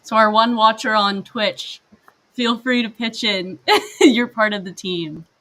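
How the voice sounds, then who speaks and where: A woman's voice: fairly high pitch, slow, a bit bright. A woman chatting casually with colleagues while co-hosting a game team's livestream.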